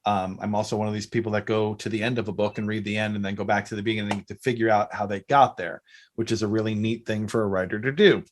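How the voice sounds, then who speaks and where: A middle-aged man chatting casually as a podcast guest, telling rambling, animated stories. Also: fairly low pitch, quick pace, a little hiss or hum. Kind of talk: casual interview chat.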